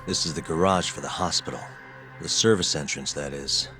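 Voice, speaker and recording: Low-voiced, cool detective. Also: male voice, a little fast, bright, forceful tone, soft background music, heavily acted. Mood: calm, scheming. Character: cool, determined adult male private detective. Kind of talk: English video game dub acting.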